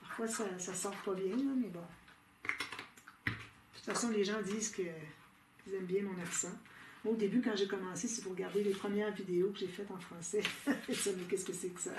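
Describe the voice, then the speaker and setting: Québécois woman hosting a craft tutorial, chatty, recorded far off in an echoey room with loud noise behind. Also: medium pitch, fairly even intonation, a little fast. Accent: Québécois French. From Quebec, Canada. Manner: chatty, thinks aloud with many "euh" fillers and small laughs. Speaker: scrapbooking tutorial host. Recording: roomy, off-mic, with loud background noise.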